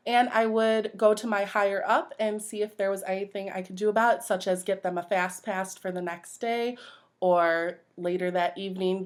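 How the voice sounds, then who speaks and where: A young woman answering interview questions as a job applicant, earnest and a little nervous in manner. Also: mid-range voice. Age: young adult.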